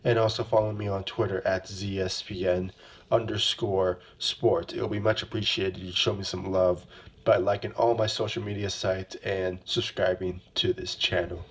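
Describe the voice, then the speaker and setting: A young man hosting his own basketball fan channel, talking loosely with lots of fillers, his voice a bit rough from a sore throat. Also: sits low, level tone, moderate pace. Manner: rambling, many fillers and repeated "obviously". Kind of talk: casual solo sports commentary.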